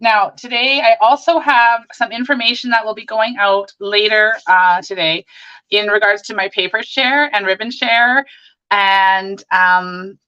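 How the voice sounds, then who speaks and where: A hoarse woman hosting a live crafting stream, chatting and greeting viewers by name as she works. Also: medium pitch, bright, forceful tone, muffled recording. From Canada.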